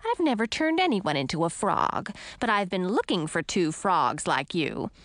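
A woman's voice: lowish voice, big pitch swings, a bit bright, dry recording. A woman voicing a sly young witch from a storybook, taunting with mock sweetness and gloating menace. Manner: mock-friendly, taunting, drawn-out words.

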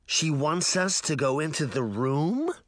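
A man's voice: a little high, animated, a little slow, bright, forceful tone, no echo, studio quality, voice pushed up, full character voice. A man voicing a cocky, defiant boy with a pompous, boastful manner in a children's audiobook. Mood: amazed. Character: cocky, defiant boy, haughty self-styled royal.

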